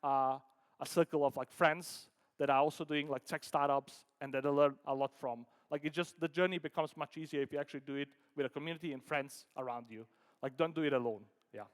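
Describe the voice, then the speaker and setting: A man from Denmark giving an informal conference talk as a startup founder, halting and conversational, peppering sentences with filler words like "like" and "you know". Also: a little high, steady tone. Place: conference hall stage. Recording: echoey hall with long reverb.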